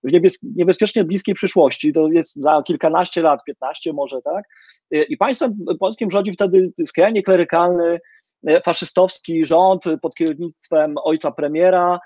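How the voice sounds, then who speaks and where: A man's voice: fairly high pitch, moderate pace, darkish tone, no room sound. A middle-aged man interviewing a guest over a video call, hesitant with many fillers and restarts. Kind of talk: hesitant literary interview questions. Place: home room with bookshelves. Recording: dull lo-fi video call audio.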